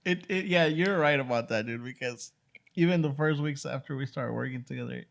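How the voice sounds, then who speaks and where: Gravelly, laid-back man hosting. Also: mid-range voice. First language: Spanish. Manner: laid-back, drawn-out "dude" and "you know" fillers. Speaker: podcast host. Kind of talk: casual chat.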